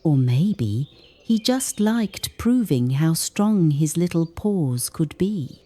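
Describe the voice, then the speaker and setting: Slow, mellow woman storyteller with swooping intonation. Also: low-pitched, lively intonation. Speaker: storyteller for children. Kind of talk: scripted read-aloud children's story narration.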